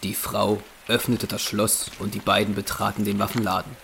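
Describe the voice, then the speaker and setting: A male narrator reads scripted audio drama narration in a gravelly, fairly low voice. Faint rain noise sits behind him. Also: flat delivery, normal speed, a bit bright.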